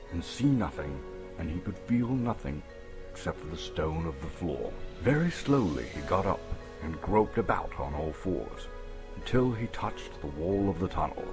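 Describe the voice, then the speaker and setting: A man gives a dramatic, suspenseful storybook narration, theatrical and full of emphatic pauses. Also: sits low, low-quality recording. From Canada. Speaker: actor reading an audiobook.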